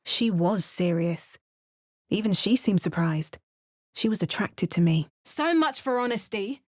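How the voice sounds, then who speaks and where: A woman's voice: medium pitch, expressive, measured pace. A woman voicing a self-assured young adventurer who delivers game voice lines with dry, wry asides. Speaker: player character adventurer.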